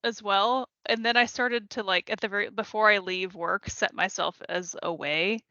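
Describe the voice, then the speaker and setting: Bright-voiced woman in casual banter. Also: medium pitch, steady tone, moderate pace, dry recording, muffled recording. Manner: playful, teasing. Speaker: book club podcast co-host.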